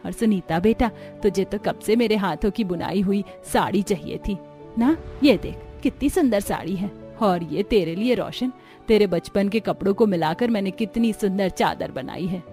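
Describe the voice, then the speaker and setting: An affectionate older village mother from an animated family story, voiced by a woman. She speaks in a tender, motherly way full of nostalgia. Light music runs underneath. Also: medium pitch, normal speed, lightly acted. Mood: tender, nostalgic.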